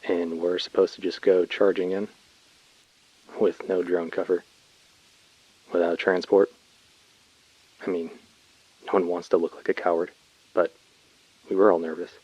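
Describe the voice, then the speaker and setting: A man's voice: sits low, darkish tone, slightly rough, dull audio. A man playing a weary, uneasy colonist recording a subdued, brooding field message in a sci-fi audio drama. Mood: uneasy, resentful.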